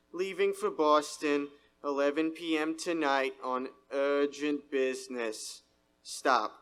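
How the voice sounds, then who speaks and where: A man's voice: mid-range voice, pushed and bright, clean tone. A man playing a formal, businesslike telegram clerk in a live 1930s-style radio drama, reciting methodically.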